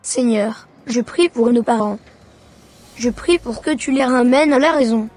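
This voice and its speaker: Cartoon schoolboy, played by a woman: devout, sincere, high-pitched, light music underneath. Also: measured pace, a little mellow. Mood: prayerful. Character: earnest, devout young schoolboy. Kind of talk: scripted cartoon dialogue. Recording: music behind the voice.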